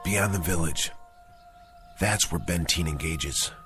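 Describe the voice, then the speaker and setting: An actor playing a serious army sergeant who knows his history, in a radio drama, speaking in a measured way. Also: low voice, bright, forceful tone, soft background music, heavily acted. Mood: grave. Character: grave male army sergeant versed in history.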